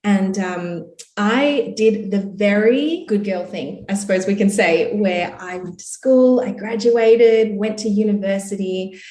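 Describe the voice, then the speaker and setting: An Australian woman in her thirties tells her story on a podcast in a relaxed, upbeat way, with plenty of "you know" and "like". Her voice sits at an everyday middle pitch. The recording has a noticeable roomy echo. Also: unhurried. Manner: chatty, upbeat, many fillers like "you know" and "like". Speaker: podcast guest, entrepreneur. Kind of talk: casual interview storytelling.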